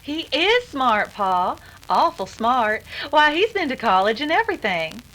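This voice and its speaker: A woman plays an eager young frontier daughter in a radio western. She is lovestruck, with a high, bright voice that moves around a lot. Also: very animated, a little slow, heavily acted, low-quality recording. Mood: admiring. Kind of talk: old-time radio western acting.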